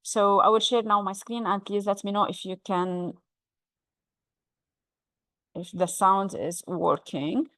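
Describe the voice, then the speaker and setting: A woman who manages a course, introducing a testimonial in an online information session and pausing often on fillers, speaking over a video call. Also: mid-range voice, steady tone, fairly bright tone, no echo, clean studio sound. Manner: hesitant, with many "um" and "uh" fillers.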